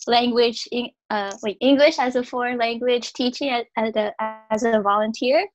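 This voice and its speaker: A woman's voice: on the high side, steady tone, moderate pace, dull audio, soft background music. A woman chatting casually, giggly and hesitant, with many fillers. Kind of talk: casual chat.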